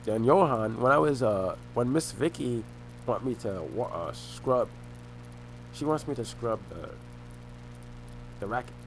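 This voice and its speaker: A young man talking to the camera in a rambling monologue, often halting, repeating himself and restarting phrases. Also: mid-range voice, animated, slight background noise, poor audio. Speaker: vlogger.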